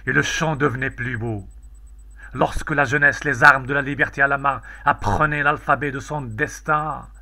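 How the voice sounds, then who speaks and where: A man reciting poetry in a solemn, declamatory way, in measured phrases with pauses between them. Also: medium pitch, bright, forceful tone. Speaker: poetry reader.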